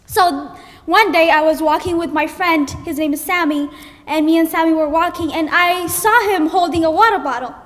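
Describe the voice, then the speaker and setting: High-pitched young woman from Ethiopia, animated live storyteller, long hall echo. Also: moderate pace. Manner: animated, acts out her own panicked thoughts. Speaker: student storyteller. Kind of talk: personal story told on stage.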